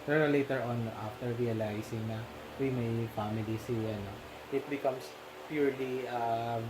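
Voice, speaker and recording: Halting Filipino man in noisy recording. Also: medium pitch, steady tone. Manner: halting, searching for words. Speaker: movie discussion host. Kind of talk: casual film discussion in Tagalog-English mix.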